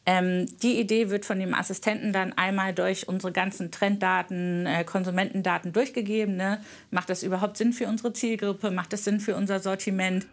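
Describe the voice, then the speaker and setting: A woman's voice: medium pitch, fairly even intonation, brisk. A woman giving casual explanations in an interview as a company expert.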